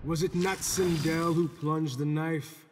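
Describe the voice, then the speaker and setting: A man playing a haughty, scornful warrior demigod in a fighting game, pompous and deliberate. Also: a little high, steady tone, over loud music. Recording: loud noisy background.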